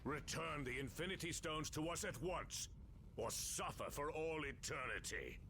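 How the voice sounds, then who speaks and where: A man's voice: fairly high pitch, animated, normal speed, pushed and bright, a little hiss or hum. An actor playing an imperious, cruel alien henchman in a video game, delivering theatrical threats with music behind. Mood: menacing. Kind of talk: video game cutscene acting.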